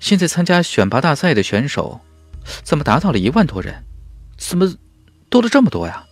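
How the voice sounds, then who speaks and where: A man's voice: on the high side, wildly swooping pitch, a little fast, dry recording, soft background music, pitched above the performer's own voice, full character voice. A man voicing a modest, level-headed young male cultivator in a fantasy audiobook, earnest and self-deprecating. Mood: puzzled.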